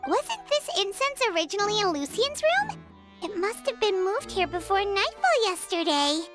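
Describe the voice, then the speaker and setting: A woman voicing a tiny, childlike fairy companion in an anime game, with a squeaky, bubbly, eager delivery. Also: big pitch swings, moderate pace, music in the background. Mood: curious. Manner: speaks of self in third person, exclamatory. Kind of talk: anime game character acting.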